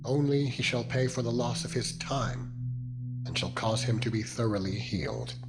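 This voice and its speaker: A man narrating scripture in a dramatized style, solemn and deliberate with measured phrasing. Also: mid-range voice, animated. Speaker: Bible narrator. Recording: background music under the voice. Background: music.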